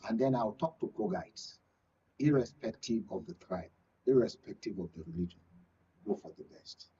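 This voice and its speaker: A man with a Nigerian accent and a raspy voice, commenting as a journalist on a panel. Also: fairly low pitch, moderate pace, warm tone, lo-fi sound, slight background noise. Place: radio and TV studio.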